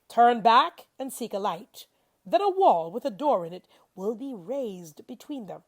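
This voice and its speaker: A woman reading scripture aloud in a solemn, declamatory style, with deliberate and emphatic phrasing. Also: on the high side, gravelly, a little mellow, husky. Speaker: reader of scripture.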